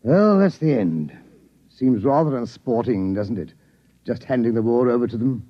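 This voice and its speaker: An actor playing a blustering British army colonel in 1950s radio style, stuffy and easily flustered. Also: male voice, mid-range voice, lively intonation, normal speed, lightly acted. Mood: resigned. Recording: muffled old radio recording, faint noise.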